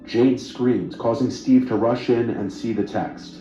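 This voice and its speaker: Dark-voiced man narrating creepy stories. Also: mid-range voice, steady tone, unhurried, roomy sound, muffled recording. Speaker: horror story narrator. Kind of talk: scripted narration of a creepy true story. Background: music.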